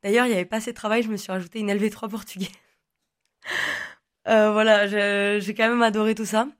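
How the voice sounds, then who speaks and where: A woman's voice: medium pitch, flat delivery, quick pace. A young woman in her twenties from the Languedoc, whose first language is Occitan, answering interview questions in a chatty, rambling way with many fillers and restarts. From Languedoc, southern France. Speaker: guest, online content creator.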